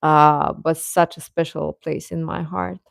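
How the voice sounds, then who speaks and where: A woman's voice: sits low, flat delivery, measured pace, creaky, dry recording, studio quality. A young adult woman hosting a live stream, chatting informally with her viewers and often chuckling as she talks.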